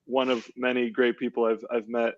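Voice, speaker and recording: A man's voice: medium pitch, little pitch movement, moderate pace, dry recording, muffled recording. A male podcast co-host, chatting enthusiastically on a video call and rambling through fillers and restarts. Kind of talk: casual podcast chat.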